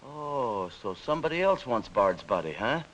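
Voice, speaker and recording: Menacing, commanding crooked boss. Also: male voice, mid-range voice, very animated, normal speed, a bit bright, rough recording. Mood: suspicious. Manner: commanding, threatening. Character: menacing, crooked male boss giving orders by phone. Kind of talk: 1940s film acting. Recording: hissy old 1940s film soundtrack.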